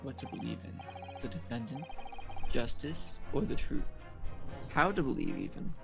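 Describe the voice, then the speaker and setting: A man's voice: mid-range voice, lively intonation, moderate pace, creaky, over music. A man acting an earnest young student lawyer in a video game fan dub, hesitant yet resolute.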